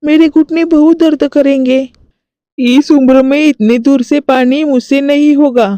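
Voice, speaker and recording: Woman playing a high-pitched grumbling older mother-in-law. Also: steady tone, unhurried, dark tone, no echo. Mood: whining protest. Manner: complaining, protesting.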